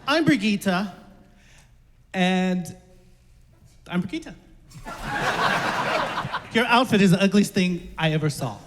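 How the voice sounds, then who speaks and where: A man's voice: high voice, lively intonation, slow pace, pauses often, heavy background noise. A man playing Brigitte, a rude and blunt old woman who teases, in a live stage skit. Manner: blunt, teasing. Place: theater stage. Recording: far off in a theater hall.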